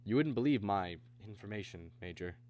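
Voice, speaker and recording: A man's voice: on the low side, animated, fairly quick, muffled recording, lightly acted. Anxious American family man, radio drama actor. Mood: guarded, resigned. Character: anxious, earnest American family man who narrates his ordeal. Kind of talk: mid-century American radio drama acting.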